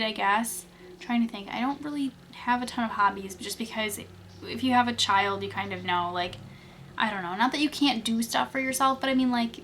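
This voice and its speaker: A woman's voice: a little high, a bit bright, a little hiss or hum. A young woman from Wisconsin, chatting casually through tag questions, rambling with lots of fillers and restarts. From Wisconsin, USA. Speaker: beauty vlogger.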